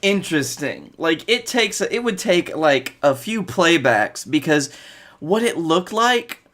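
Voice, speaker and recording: Twenties guy bantering on a podcast, voice a bit high, super casual. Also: big pitch swings, slow, a bit bright. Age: 20s. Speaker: podcast co-host. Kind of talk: casual banter about wrestling. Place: bedroom, on a video call.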